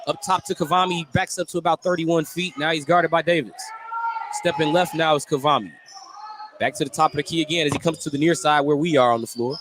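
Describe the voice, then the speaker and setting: A man's voice: a little high, fairly even intonation, brisk. A man doing live radio play-by-play of basketball from courtside, describing each move in clipped, detailed phrases. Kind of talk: live sports play-by-play commentary. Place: courtside in a school gym. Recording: noisy live gym broadcast.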